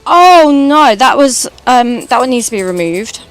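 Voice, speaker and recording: A British woman with a high voice, streaming and chatting casually while gaming, rambling and swearing now and then. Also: very animated, a little slow, clear, resonant tone, soft background music. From Britain.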